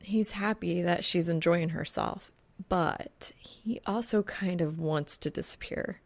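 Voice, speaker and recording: A woman's voice: on the low side, unhurried, darkish tone. A woman reading a piece of fan fiction aloud as scripted audiobook narration.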